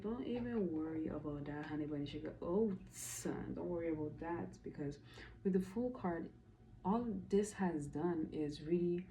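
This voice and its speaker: A woman's voice: sits low, mellow, a little hiss or hum, rough recording. A woman from the Caribbean giving a casual spoken tarot reading, emphatic and chatty, repeating affirmations with playful asides. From Caribbean, Dominican. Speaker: tarot reader.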